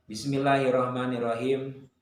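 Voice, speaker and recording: A man teaching a religious lesson. He recites Arabic phrases from a classical text and glosses each word in a steady, measured cadence. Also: medium pitch. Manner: poses and answers his own questions. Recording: very echoey room, faint noise.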